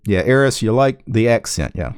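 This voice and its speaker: A man's voice: mid-range voice, expressive, clear, resonant tone, no room sound, clean studio sound. A man with a Southern US accent hosting a livestream, chatting casually and unhurriedly with a caller, peppering his talk with "yeah". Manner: laid-back, drawn-out, frequent "yeah"s. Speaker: livestream host. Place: small home room full of computer gear.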